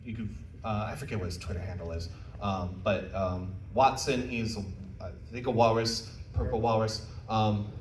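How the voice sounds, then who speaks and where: A man's voice: on the low side, steady tone, normal speed. A man presents at a convention panel in an informal, encouraging manner. He is modest about himself and casual in his phrasing. The recording is echoey and slightly distant, with faint noise behind him. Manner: casual, self-deprecating, encouraging. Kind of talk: informal technical presentation with audience questions. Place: indoor convention panel room.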